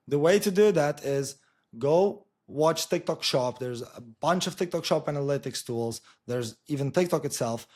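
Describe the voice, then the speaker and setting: A man's voice: fairly high pitch, a little mellow. A man from Portugal gives an informal explainer in a blunt, emphatic, sweary way, often checking in with short tag questions. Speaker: marketing agency co-founder presenting.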